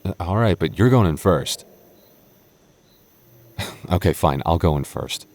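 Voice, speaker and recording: A young goth boyfriend, played by a man, speaks in an extremely deep voice. He is protective and tender, with a halting, playful way of talking straight to his partner, as in a boyfriend roleplay audio. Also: expressive, a little mellow, heavily acted. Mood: hesitant, hopeful. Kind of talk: boyfriend ASMR roleplay, acted conversationally to the listener.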